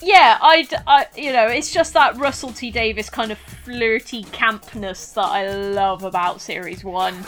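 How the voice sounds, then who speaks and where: An enthusiastic woman chatting on a podcast, rambling along with lots of fillers. Her voice sits a little high, with faint music in the background. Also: unhurried, hardly any pauses, a bit bright. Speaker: podcast co-host. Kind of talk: casual chat.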